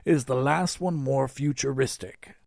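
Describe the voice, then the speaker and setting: A man's voice: mid-range voice, unhurried, gravelly, darkish tone, no room sound, studio quality. A man voicing a blustery, flustered old Santa Claus with a theatrical manner in an audiobook. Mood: pleased. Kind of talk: audiobook character voice.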